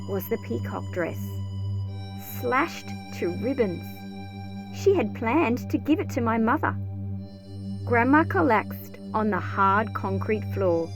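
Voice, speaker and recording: A woman reading a picture book aloud as an expressive, measured storyteller. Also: a little high. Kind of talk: scripted storybook read-aloud narration. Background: background music.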